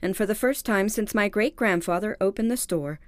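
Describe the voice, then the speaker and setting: A woman plays a well-mannered store heiress in a radio mystery. She is earnest and anxious, choosing formal words with a dramatic flair. Her mid-pitched voice sits in a dry, close recording. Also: normal speed, no room sound, lightly acted. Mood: worried, earnest. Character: polite, anxious female department store heiress seeking help. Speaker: actor in an audio drama.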